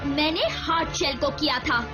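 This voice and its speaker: A woman's voice: sits high, moderate pace, natural voice. A woman playing a feisty, cheeky young girl in a cartoon dub, with a defiant, sassy manner. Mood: cheeky, urgent. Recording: loud music behind voice.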